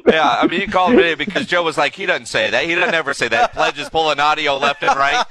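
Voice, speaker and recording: A man's voice: fairly high pitch, expressive, quick pace, a crowd in the background. An American man hosting a casual sports talk radio show, bantering with his guests. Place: radio studio.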